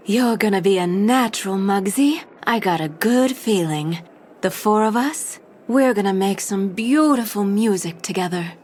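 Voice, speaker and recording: A woman's voice: mid-range voice, measured pace, darkish tone, a little hiss or hum, close to an everyday voice. Woman acting a cunning femme fatale gang boss. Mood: assured, teasing. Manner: smooth, confident, persuasive. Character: cunning, seductive femme fatale crew leader. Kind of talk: scripted audio drama acting.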